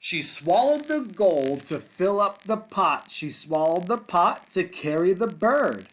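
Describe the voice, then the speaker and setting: A man reads a storybook to young children in a fairly high voice that swings widely in pitch. He sounds like a kindergarten teacher, sing-song on the rhymes and encouraging in his casual asides. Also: very animated, slow, a bit bright, slight background noise. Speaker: kindergarten teacher reading a picture book aloud.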